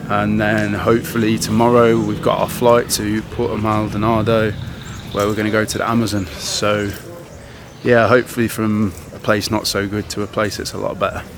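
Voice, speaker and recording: A young man chatting casually to a handheld camera as a travel vlogger, rambling with lots of fillers. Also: lowish voice. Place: outdoors in towns and on the road. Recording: outdoor camera audio with noise.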